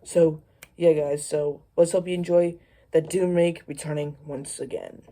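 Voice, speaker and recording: A woman talks casually to her viewers in a low voice with a dark, mellow tone. She rambles and halts, often doubling back over the same phrase as she introduces her video. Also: fairly even intonation. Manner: halting, rambling, repeats phrases. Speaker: video creator introducing a premiere.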